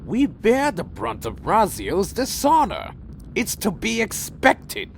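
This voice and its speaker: A man's voice: high-pitched, huge pitch swings, slow pace, creaky, slight background noise, heavily acted, low-quality recording. A man playing a weary, proud winged vampire clan leader in a fan audio drama, speaking formally and broodingly. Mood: weary, resigned.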